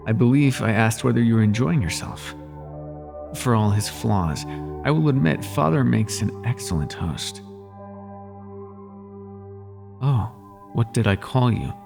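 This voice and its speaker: A man's voice: on the low side, lively intonation, measured pace, creaky, pauses often, over music. A man playing a suave, teasing demon prince of nightmares in an intimate audio roleplay, with courtly, lingering delivery. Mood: sly, soothing.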